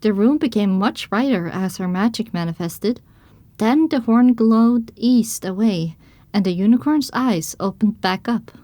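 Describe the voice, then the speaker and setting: A woman playing a pony mare who narrates her own adventure in measured, descriptive audiobook style. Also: medium pitch, expressive, warm tone, no echo, lightly acted. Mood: narrating. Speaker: first-person narrator.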